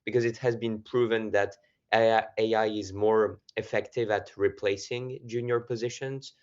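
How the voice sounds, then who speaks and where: A young man from France with a French accent, giving measured answers as a student guest in an interview. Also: on the low side, steady tone. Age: young adult. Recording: slightly muffled audio.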